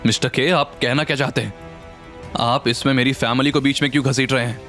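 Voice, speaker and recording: A man's voice: mid-range voice, steady tone, fairly quick, fairly bright tone, lightly acted. A man voicing a guilty, defensive male employee in an audio drama, agitated and deferential to his superiors. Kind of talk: dramatised audio series acting. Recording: music bed under the voice.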